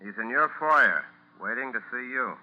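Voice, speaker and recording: A man playing a brisk, commanding FBI field agent in a 1940s radio drama, with an American accent and terse, businesslike delivery. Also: mid-range voice, lively intonation, natural voice. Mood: matter-of-fact. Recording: dull, lo-fi 1940s radio recording.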